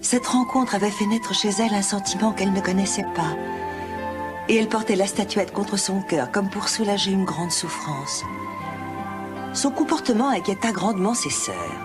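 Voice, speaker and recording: A woman narrating a children's animated fairy tale as a scripted storyteller. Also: mid-range voice, moderate pace, loud music behind the voice.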